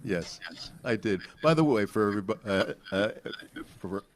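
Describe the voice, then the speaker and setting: Low-voiced man in his eighties. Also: expressive, moderate pace. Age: 80s. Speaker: performer answering audience questions. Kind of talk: informal question-and-answer talk. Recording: slightly noisy live event recording.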